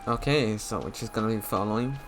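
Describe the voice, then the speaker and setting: Low-key game streamer over music. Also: fairly quick. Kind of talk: casual gameplay commentary. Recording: loud game music behind.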